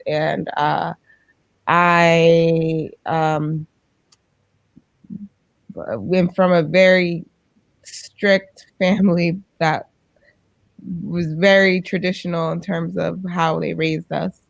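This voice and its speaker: A woman from the United States, a guest lawyer, answering interview questions slowly and hesitantly over a video call. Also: on the low side, little pitch movement, vocal fry, no echo, muffled recording. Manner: slow, hesitant, many ums.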